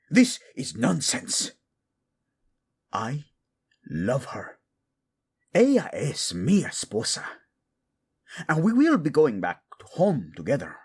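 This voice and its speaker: Male actor as a devoted young king, proud, romantic audio drama, slow deliberate phrasing, very expressive intonation, earnest. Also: fairly high pitch, husky, heavily acted. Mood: indignant, defiant.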